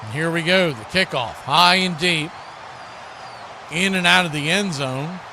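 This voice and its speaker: A man's voice: a little high, measured pace, background noise. A man doing live football play-by-play commentary in punchy, clipped phrases. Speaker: play-by-play sports announcer.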